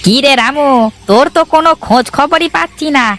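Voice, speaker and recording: A man's voice: very high voice, fairly quick, sharp tone, slight background noise, full character voice. A man voicing a pushy, nagging village shopkeeper in a cartoon, exclaiming and demanding. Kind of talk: cartoon voice acting.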